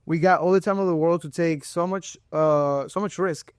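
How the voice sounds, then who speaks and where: A young man hosting a casual interview, talking in a relaxed, slangy way. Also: fairly high pitch, normal speed, smooth, no echo, clean studio sound. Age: young adult. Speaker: host.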